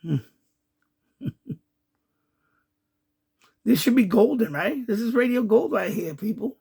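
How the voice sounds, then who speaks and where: A man's voice: high-pitched, lively intonation, slow pace, vocal fry, mellow, slightly rough. A man hosting a casual, unscripted video commentary, hesitant and somewhat exasperated. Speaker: video host, amateur radio hobbyist.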